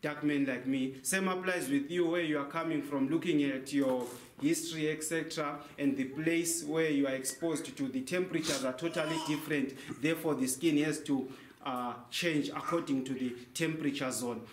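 A man's voice: on the high side, fairly even intonation, moderate pace, hardly any pauses. Young man guiding a tour in an echoey cave. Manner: explains with questions and answers. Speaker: tour guide. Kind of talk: guided cave tour talk. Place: large cave, indoors. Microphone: handheld mic, camera a few meters away. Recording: echoey cave, faint noise.

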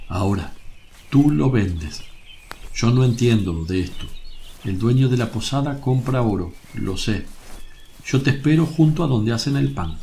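A man acting a serene, affectionate master teacher in a religious radio drama, gentle, solemn and reassuring. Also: mid-range voice, lively intonation, a little slow, creaky. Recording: noisy, birdsong ambience behind.

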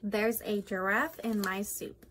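A woman's voice: mid-range voice, fairly even intonation, slow, music in the background. A young adult woman, a children's librarian, hosting and reading aloud a storytime for children.

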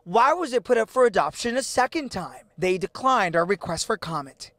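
A man's voice: sits high, animated, normal speed, fairly bright tone, no room sound. A man in his thirties reporting live for local television news. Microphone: lapel mic.

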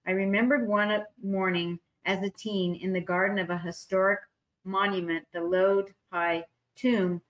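A woman's voice: mid-range voice, fairly even intonation, measured pace, rough recording. A woman on a video call reading artwork descriptions aloud at a public meeting, stumbling over unfamiliar names. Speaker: public arts commissioner.

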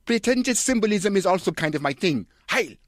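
Guy doing a pompous art film director, way high pitched and exclaiming, parody reading. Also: expressive, a little fast, no echo, clean studio sound. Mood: pompous, shouting. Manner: exclamatory, pretentious. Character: pompous male film director shouting outbursts.

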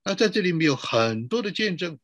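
A man's voice: sits high, big pitch swings, brisk, fairly bright tone, no room sound, highs cut off. A middle-aged man pastor giving a sermon to an online church, posing rhetorical questions and drawing out emphatic phrases.

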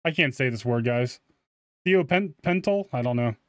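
A man's voice: mid-range voice, expressive, a little slow, no room sound, clean studio sound. A man giving casual, informal video game commentary with slang and mild swearing. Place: close mic indoors, game music behind.

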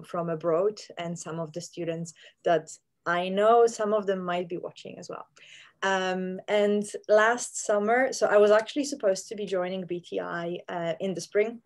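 A woman's voice: on the low side, darkish tone. A woman, a scientist explaining her research in a virtual interview, hesitant with many fillers. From Poland. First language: Polish. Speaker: plant scientist guest. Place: home room with plants. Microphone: video call.

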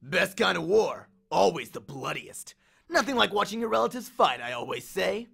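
A man performs a swaggering god of war for an audiobook, a menacing biker bully. He is cocky and slangy, taunting in a bright, forceful voice with plenty of gravel and big swings of pitch. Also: very animated, moderate pace, heavily acted. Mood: gleeful, taunting. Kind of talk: audiobook character voice.

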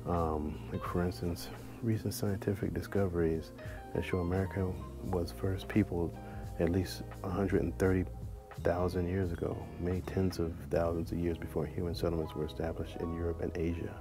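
Deep monotone guy in his 40s vlogging in a library, lots of ums, music playing underneath. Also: moderate pace. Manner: relaxed, musing, thinks aloud with fillers. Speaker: travel vlogger. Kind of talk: casual vlog commentary. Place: large indoor library. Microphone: handheld or chest-mounted action camera. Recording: clear background music under voice. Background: background music.

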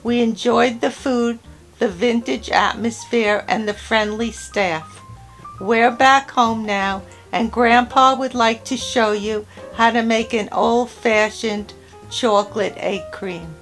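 Slow-spoken older woman narrator. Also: on the high side, steady tone, fairly bright tone, faint music behind, rough recording. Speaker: channel host. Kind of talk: scripted voice-over narration.

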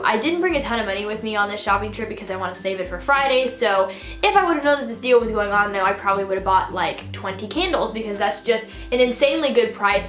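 A woman's voice: medium pitch, fairly bright tone, roomy sound. A teenage girl chatting in a casual shopping haul vlog, gushing and full of fillers, a little stuffed up and losing her voice. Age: teens. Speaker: vlogger. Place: bedroom.

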